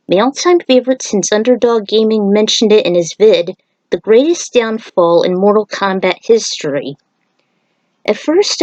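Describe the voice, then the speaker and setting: Female fan narrator, average pitch, emphatic and opinionated, informal commentary delivery, very dry sound. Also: a little mellow, no room sound, rough recording. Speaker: fan fiction author and video narrator.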